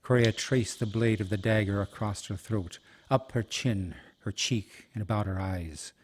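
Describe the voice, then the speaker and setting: A man's voice: lowish voice, unhurried. A man narrating a scripted fantasy radio play in a dramatic storytelling style. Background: music.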